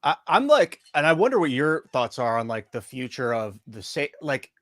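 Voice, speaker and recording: Male podcast host, mid-pitch, halting and searching for words; very dry, close sound. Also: big pitch swings, fairly bright tone, no echo. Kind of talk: casual interview questions. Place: private closed room.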